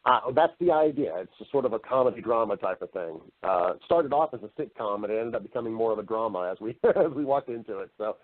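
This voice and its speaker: A narrow, phone-like connection carries a fairly low male voice. He talks as an interview guest in a casual, conversational way. He is talkative and explains things at length. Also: animated, fast, hardly any pauses. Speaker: interview guest, voice-over artist and actor.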